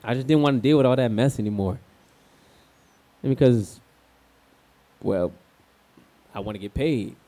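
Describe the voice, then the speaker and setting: A man's voice: mid-range voice, normal speed, pauses often, mellow, natural voice. Blunt, streetwise young escort, played by a man. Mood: candid, matter-of-fact. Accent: American. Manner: slangy, blunt, confiding. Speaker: radio show guest. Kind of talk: radio play acting, slangy conversational monologue.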